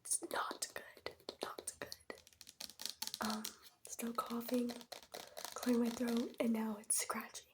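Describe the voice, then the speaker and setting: A teenage girl whispering in a breathy voice, rambling casually and wryly in an ASMR storytime style with youthful slang. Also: fairly high pitch, flat delivery, slow pace. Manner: chatty, wry, youthful slang. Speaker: ASMR host showing products.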